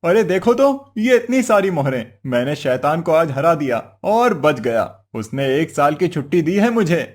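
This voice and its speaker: A man's voice: on the high side, big pitch swings, normal speed. A man playing a crafty, cheerful village husband in a cartoon moral story, coaxing and exclaiming in an exaggerated way. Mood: gleeful.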